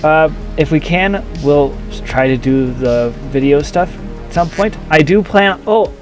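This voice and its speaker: A young man with a slightly higher voice hosts a casual podcast, hesitant and full of fillers. Faint music plays underneath. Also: animated, a little slow. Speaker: podcast host.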